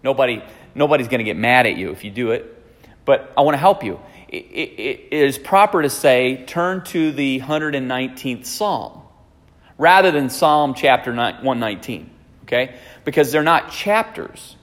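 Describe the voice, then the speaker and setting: A man's voice: medium pitch, lively intonation, normal speed, fairly bright tone, big-room sound. A pastor in his forties giving a conversational teaching sermon, often checking in with the congregation. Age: 40s. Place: church sanctuary.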